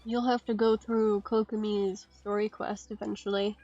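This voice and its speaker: A woman chatting casually in a low-key way as she plays a game with someone. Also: little pitch movement, normal speed, mellow, dull audio. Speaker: co-player. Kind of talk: casual gameplay chat. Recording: clear background noise with clicks.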